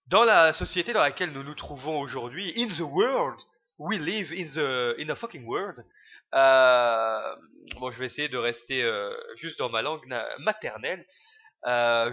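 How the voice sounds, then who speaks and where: A man's voice: fairly high pitch, sharp tone. Ranting young man, lo-fi sound. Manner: rambling, heated, crude slang, many fillers. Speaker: vlogger. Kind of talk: ranting video commentary.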